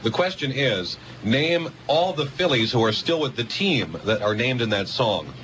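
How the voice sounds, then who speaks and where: A man's voice: medium pitch, lively intonation, normal speed, fairly bright tone. A man co-hosting a radio contest, brash and impatient, wisecracking at the callers. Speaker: radio show co-host. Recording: noisy radio aircheck.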